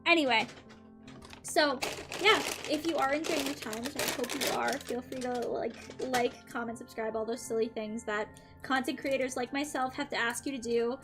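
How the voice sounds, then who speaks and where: A woman's voice: high voice, moderate pace, over music. A young woman streaming, chatting casually and upbeat with her viewers between tarot readings, her throat a little dry. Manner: chatty and upbeat, frequent "um" and light laughs. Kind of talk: casual live-stream chat.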